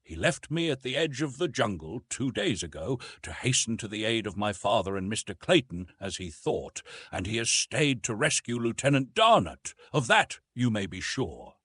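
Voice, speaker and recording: A man's voice: medium pitch, big pitch swings, moderate pace, a bit bright, husky, no echo. A man voicing a determined, proud young American woman from the South who argues in a formal, insistent way, as in an audiobook adventure story.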